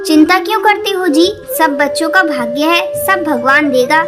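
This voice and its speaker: A woman's voice: sits high, moderate pace, natural voice. A woman voicing a practical mother bird in a cartoon, chiding yet affectionate. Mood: reassuring. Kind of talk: Hindi cartoon story acting. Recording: loud music under the voice.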